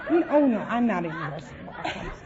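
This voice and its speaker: A woman's voice: mid-range voice, sing-song, fairly quick, over a noisy crowd. An older woman chatting as a quiz contestant, talkative, earnest and folksy. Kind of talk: chatty unscripted storytelling. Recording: muffled old radio, loud audience, hiss.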